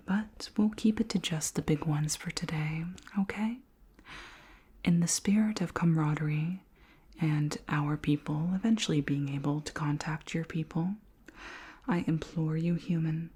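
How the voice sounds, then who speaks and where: A very breathy, whispering alien queen, acted by a woman in an ASMR roleplay. She is curious, polite and regal, speaking slowly and formally in a low-pitched, soft voice. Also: exaggerated voice. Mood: polite, eager. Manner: slow, formal, deliberate questioning.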